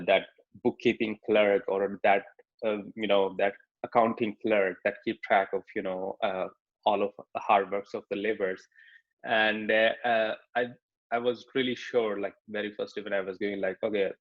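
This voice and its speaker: A young man with a Pakistani accent tells his own story to an audience, pausing often and circling back on his words. His voice sits on the lower side. The recording sounds dull and lo-fi. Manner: hesitant, many fillers and restarts. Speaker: speaker giving a talk. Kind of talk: personal story talk with slides.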